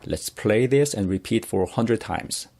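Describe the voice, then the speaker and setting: Low-voiced man narrating a software tutorial. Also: unhurried. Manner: plain step-by-step explaining. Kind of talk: instructional screencast narration.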